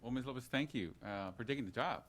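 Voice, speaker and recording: A man presiding over a public meeting, making informal remarks in a halting way. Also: mid-range voice, a bit bright, slight background noise. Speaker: presiding city leader. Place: city commission meeting room.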